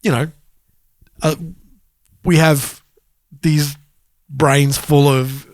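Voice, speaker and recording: A man's voice: fairly high pitch, flat delivery, drawn-out words, no echo. A man co-hosting a podcast in casual chat, thinking aloud as he explains his points. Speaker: podcast co-host.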